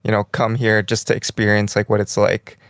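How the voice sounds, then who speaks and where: A young man co-hosting a podcast in casual chat, rambling in a relaxed way and saying "like" constantly, lying in bed in a small bedroom. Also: on the low side, brisk, clear, resonant tone, no echo, studio quality. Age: young adult. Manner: relaxed, rambling, heavy use of "like". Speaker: podcast co-host.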